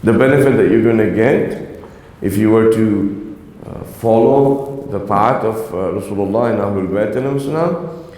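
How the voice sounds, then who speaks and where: A man's voice: on the low side, normal speed, dark tone. Earnest man lecturing in an echoey hall. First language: Urdu. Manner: earnest, exhorting, asks the audience "right?". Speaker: religious lecturer. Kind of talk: earnest religious talk to young people.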